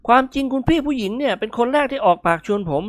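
A woman's voice: high-pitched, animated, racing, no room sound, clean studio sound, voice pushed up. A woman voicing a brash, gluttonous male show-off in a comic story, declaiming in a mock-grand way. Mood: exasperated, persuasive.

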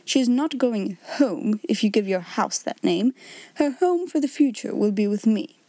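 A woman voicing an older gentleman with a hot temper held firmly in check, speaking with quiet command. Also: medium pitch, expressive, normal speed, no echo, close to an everyday voice. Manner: firm, restrained, quietly commanding.

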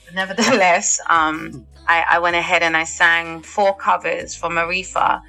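A woman's voice: fairly low pitch, steady tone, normal speed, gravelly, pushed and bright, soft background music, low-quality recording. A young woman, a singer chatting casually as an interview guest, with lots of fillers and laughs. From England. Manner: chatty, laughs often, many fillers and restarts.

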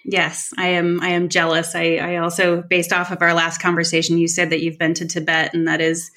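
A woman's voice: fairly low pitch, completely flat delivery, a little fast, sharp tone. A woman hosting a podcast interview in an upbeat, eager way. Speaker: podcast host.

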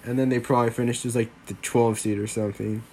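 Rambling young man, flat voice. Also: mid-range voice, fast, slight background noise. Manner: rambling, lots of "like" and "um", restarts. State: getting over a cold. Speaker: sports podcast host. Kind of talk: casual solo podcast chat.

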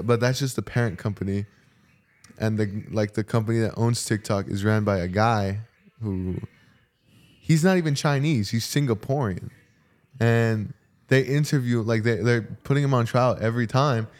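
A man's voice: lowish voice, normal speed. A man in a casual chat, telling stories in slangy speech and acting out exchanges as a podcast co-host. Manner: animated storytelling, acting out quoted exchanges.

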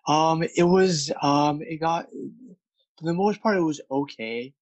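Man, stutters, repeats words, fillers, slightly high voice, dull lo-fi dry recording. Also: unhurried, darkish tone, no room sound. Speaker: guest, speech coach. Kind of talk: candid interview conversation.

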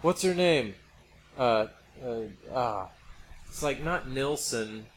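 A man's voice: medium pitch, lively intonation, takes it slowly, reverberant. Casual male podcaster, faint background noise. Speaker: podcast co-host.

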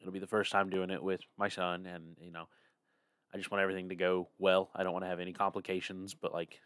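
Young male co-host, tired and rambling, lowish voice, dry recording. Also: flat delivery, fast talker, fairly bright tone, no room sound. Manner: rambling, laughs often, yawning. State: tired, getting over a cold. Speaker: podcast co-host. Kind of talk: casual chat.

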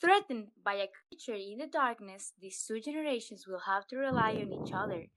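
Playful, bright high-voiced woman co-hosting. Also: expressive, fairly quick, no echo, low-quality recording. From US-Mexico border region. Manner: playful, interjecting little sound effects. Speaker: podcast co-host. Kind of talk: casual podcast chat.

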